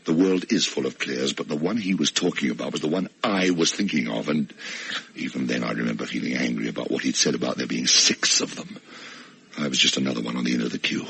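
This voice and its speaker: A man's voice: extremely low pitch, quick pace, vocal fry, a bit bright, breathy edge, full character voice. An actor playing a flustered, self-absorbed man in a radio play, stammering and hesitant as a reluctant rescuer. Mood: wry, confiding. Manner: stammering, hesitant, nervously pleading. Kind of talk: radio play acting with inner narration.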